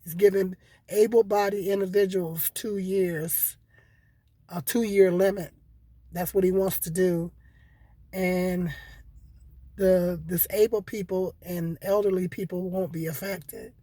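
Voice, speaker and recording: A hoarse woman with a cold, talking casually and rambling to her viewers with many repeats and fillers. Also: lowish voice, fairly even intonation, takes it slowly, warm tone, no room sound. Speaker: vlogger. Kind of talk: casual solo commentary.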